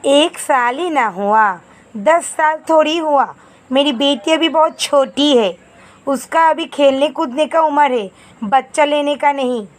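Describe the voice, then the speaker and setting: Woman voicing a slow, nagging mother-in-law. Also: sits high. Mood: smug, defensive. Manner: scolding, dramatic exclamations. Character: nagging, old-fashioned middle-aged Indian mother-in-law. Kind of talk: scripted animated moral story dialogue.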